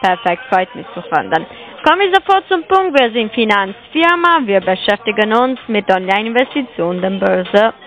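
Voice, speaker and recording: A woman's voice: medium pitch, expressive, normal speed, a bit bright, muffled recording, faint music behind. A woman making a scam sales call on the phone, pushing with repeated questions in broken grammar. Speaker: scam caller.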